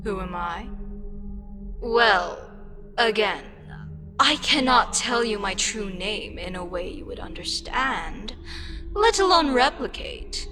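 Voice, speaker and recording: A woman playing a frustrated, condescending, wryly amused eldritch deity in an ASMR audio roleplay, with a dryly sarcastic, unhurried manner. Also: mid-range voice, expressive, music in the background. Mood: calm, intrigued.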